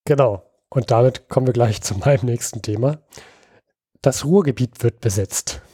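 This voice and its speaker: Man, from Berlin, mellow dark tone, halting explainer with many fillers, dry close sound. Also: mid-range voice, breathy edge, no room sound. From Berlin, Germany. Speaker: podcast co-host. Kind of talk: casual history podcast chat.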